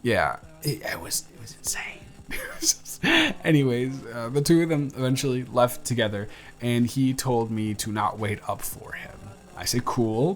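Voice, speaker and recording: A man's voice: medium pitch, close to an everyday voice. A young man playing a chatty, friendly barista who is sweet on a friend, in an intimate one-sided audio roleplay with casual, slightly stammering delivery. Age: young adult. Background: music.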